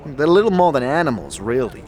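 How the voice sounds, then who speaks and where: Man playing a wry, animated fantasy sidekick. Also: mid-range voice, brisk, close to an everyday voice. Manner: casual, wry. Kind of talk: fantasy audio drama acting.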